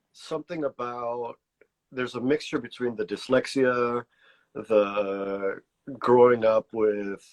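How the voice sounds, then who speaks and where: A man's voice: medium pitch, low-quality recording. A man born in Mexico with Spanish as his first language, speaking English with a slight border accent, talking casually in an interview, hesitant and searching for words with many restarts. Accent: pocho border Spanglish-tinged American English. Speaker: artist, interview guest.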